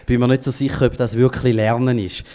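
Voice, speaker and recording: A man with a Swiss German accent leads a café talk show, speaking in a casual, musing way. His voice sits in a middle pitch and has a dark, mellow tone. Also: level tone, brisk. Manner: musing, loose conversational phrasing.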